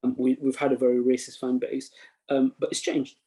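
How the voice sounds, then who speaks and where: A man, interviewing in a halting way with many fillers and restarts. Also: mid-range voice, completely flat delivery, dark tone. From East London. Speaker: interviewer, imam. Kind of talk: conversational interview.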